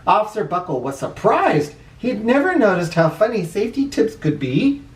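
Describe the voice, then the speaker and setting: A man reading a picture book aloud to children in an expressive, playful way, acting out the dialogue and exclamations. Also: sits high, normal speed. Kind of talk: animated storybook read-aloud. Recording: roomy echo, distant microphone.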